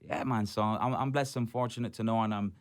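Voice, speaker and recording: A man's voice: lowish voice, fairly even intonation, a little fast. A Barbadian soca artist in his forties, telling his story on a radio show in an upbeat way and often saying "man" and "blessed". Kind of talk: radio interview.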